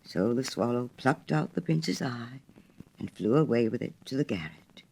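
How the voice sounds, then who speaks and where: A woman narrates a fairy tale from a storybook. Her reading is expressive, with pitch that swings widely from phrase to phrase. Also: moderate pace, gravelly, husky.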